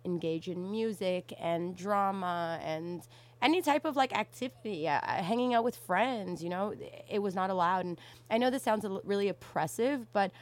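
A young woman from Canada in casual conversation, telling stories in an animated way and often saying "like". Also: mid-range voice, moderate pace. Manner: animated storytelling, frequent "like".

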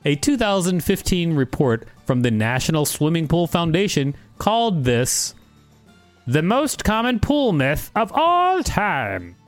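A man co-hosting a podcast, chatting casually and reading an article aloud with wry, unhurried banter. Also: fairly high pitch, dry recording. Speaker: podcast co-host.

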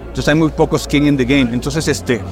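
A middle-aged film director from Puerto Rico with a mixed Caribbean and Mexican Spanish accent, chatting casually and telling stories with lots of fillers. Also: male voice, mid-range voice, steady tone, moderate pace, music in the background. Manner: chatty, storytelling, fillers like "este". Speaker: film director, podcast guest. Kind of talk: casual conversation.

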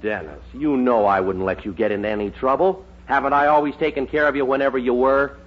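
An actor playing a slick, hearty young schemer in a 1940s radio sitcom, glib, breezy and always selling. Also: male voice, mid-range voice, quick pace, close to an everyday voice. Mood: persuasive. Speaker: supporting actor. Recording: muffled, hissy 1940s radio recording.

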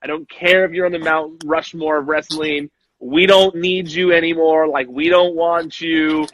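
A young man co-hosting a casual wrestling podcast, animated and quick to act out lines he quotes. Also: fairly high pitch, muffled recording. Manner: animated, acts out quotes in voices. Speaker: podcast co-host.

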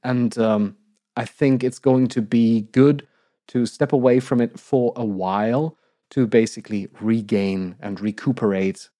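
A man in his thirties from Germany co-hosting a podcast, his voice slightly croaky from recovering from an illness, talking in an articulate, measured way and laughing often. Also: medium pitch, steady tone, warm tone, dry recording, studio quality. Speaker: podcast co-host. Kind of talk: casual podcast announcement.

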